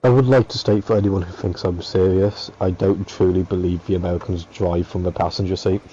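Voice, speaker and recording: Young male streamer, low warm tone, chatty and teasing, laughs a lot. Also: fairly even intonation, fairly quick, muffled recording, slight background noise. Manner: rambling, teasing, laughs often. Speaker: streamer and co-host. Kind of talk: casual gaming stream banter.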